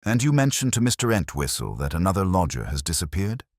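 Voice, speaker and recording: A man voicing a calm, curt gentleman detective with a smooth, formal manner in an audiobook story. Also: low voice, fast talker, no echo, studio quality, pitched above the performer's own voice, full character voice.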